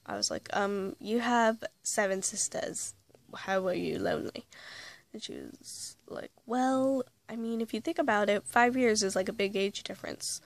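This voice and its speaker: A woman's voice: mid-range voice, dry recording. A woman telling a rambling story in a casual vlog, correcting herself as she goes, with a slight cold and a voice that is starting to go. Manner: rambling, self-correcting, chatty. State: slight cold, losing her voice. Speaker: vlogger playing an invented actress.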